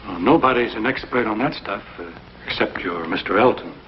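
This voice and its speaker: Man acting careful no-nonsense expert, kinda low voice, old tv drama, muffled w slight hiss. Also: brisk, natural voice. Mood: sober, candid. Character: cautious, plain-spoken industry expert advising government. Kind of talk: mid-century American TV drama acting. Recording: dull, noisy vintage TV soundtrack.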